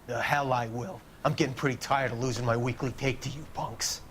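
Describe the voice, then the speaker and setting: An actor playing an angry, gruff old shop owner, defiant and taunting, in a video game. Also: male voice, medium pitch, fairly even intonation, fairly quick, a bit bright, slight background noise, close to an everyday voice. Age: older.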